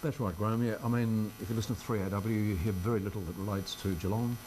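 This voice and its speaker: A man's voice: lowish voice, fairly even intonation, fast, mellow, slight background noise, poor audio. An older Australian man, a local radio presenter, chatting informally in an interview with a wry, self-deprecating manner. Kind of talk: casual interview chat. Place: radio studio.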